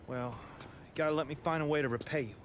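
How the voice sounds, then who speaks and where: Casting: a man voicing an earnest mechanic, slightly high voice, faint hum. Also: quick pace, lightly acted. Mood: grateful. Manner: earnest, grateful.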